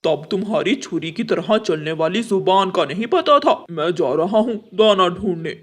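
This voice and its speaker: A man's voice: high voice, animated, normal speed, a bit bright. A man voicing a henpecked, grumbling crow husband with a bantering, complaining manner in a cartoon story. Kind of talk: Hindi cartoon story acting.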